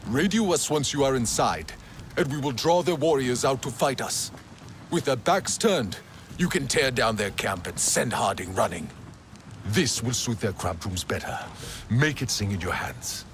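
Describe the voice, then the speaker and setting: A man's voice: mid-range voice, animated, normal speed, slight background noise, lightly acted. A man playing a resolute, commanding alien warrior in a video game.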